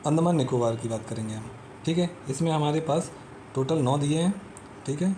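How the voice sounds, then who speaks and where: A man's voice: fairly high pitch, a little fast, dark tone, slight background noise. A man teaching exam memory tricks in a casual, repetitive explanatory style, often checking in with the listener. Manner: repetitive, peppers talk with "theek hai" and "hai na"; storytelling, explains step by step and repeats.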